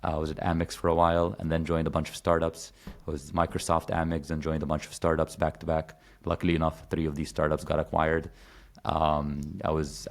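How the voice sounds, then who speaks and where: Man, very low voice, flat delivery, from Egypt, rambling with fillers. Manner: rambling, many fillers and restarts. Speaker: guest. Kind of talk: casual conversation.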